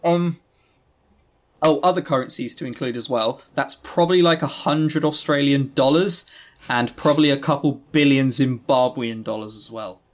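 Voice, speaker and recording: A man's voice: mid-range voice, moderate pace. A man co-hosting a podcast, chatting casually and giving opinionated reviews with sarcastic, joking exasperation. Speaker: podcast co-host reviewing visual novels.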